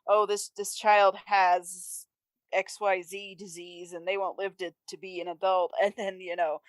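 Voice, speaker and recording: A woman's voice: mid-range voice, little pitch movement, measured pace, no room sound, low-quality recording. A woman co-hosting a casual fan podcast, talking conversationally and acting out imagined quotes. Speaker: podcast co-host.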